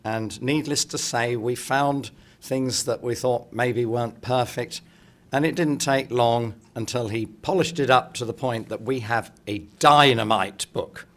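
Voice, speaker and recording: A middle-aged man with a croaky, hoarse voice opening a conference in a hotel meeting room, chatty and joking with the audience. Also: mid-range voice, steady tone, a little slow, a bit bright. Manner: chatty, jokey, addresses the room directly. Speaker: speaker opening a political congress.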